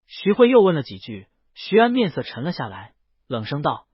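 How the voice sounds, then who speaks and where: A man with a fairly high, husky voice narrates a romance audiobook. His pitch moves around in a lively way as he tells the story. The recording is dry, close and slightly dull. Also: normal speed, dry recording. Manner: reads character dialogue within the narration. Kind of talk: scripted romance novel narration.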